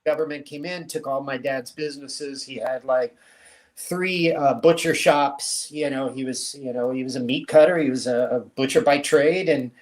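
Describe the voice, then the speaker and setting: A middle-aged man born in Cuba, a guest telling family stories in a relaxed, easy way and laughing often. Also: mid-range voice, moderate pace. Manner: relaxed storyteller, laughs easily. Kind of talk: casual interview chat.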